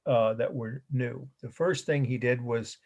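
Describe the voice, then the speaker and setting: A middle-aged man lecturing a college art history class, slightly hoarse, rambling with fillers and self-corrections. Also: medium pitch, measured pace, darkish tone.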